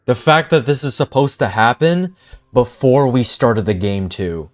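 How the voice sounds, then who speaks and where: Very low-voiced woman streamer, unhurried. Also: lively intonation. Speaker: streamer reacting to a game. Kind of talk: casual live reaction commentary. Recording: game music behind the voice.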